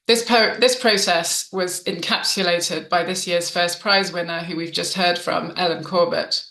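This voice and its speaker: A woman hosts an awards evening, speaking in a bright, clear voice that rings out in a roomy space. Her talk is gentle and unhurried, full of little ums and restarts as she moves between guests. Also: fairly low pitch, fairly even intonation. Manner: warm, with frequent ums and restarts. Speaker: event host. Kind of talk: hosting a literary awards event. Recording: roomy reverberant sound.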